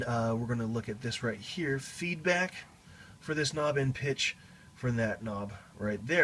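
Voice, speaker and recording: A man giving a casual, explanatory demonstration of a guitar effects pedal in a halting way, as a teacher would. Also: mid-range voice, fairly bright tone, slight background noise. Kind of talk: casual product demo.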